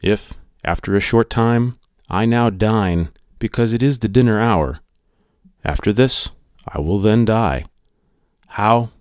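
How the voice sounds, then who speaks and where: A man reading philosophy as a scripted dramatic monologue, in a measured, deliberate manner. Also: fairly low pitch, darkish tone, clean tone. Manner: measured, deliberate delivery in a radio monologue style. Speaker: audiobook reader. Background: music.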